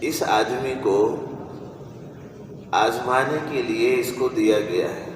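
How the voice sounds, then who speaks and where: A man preaching a religious sermon in a deliberate manner, with rhetorical repetition and pauses. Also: mid-range voice. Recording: echoey hall from far off, noisy.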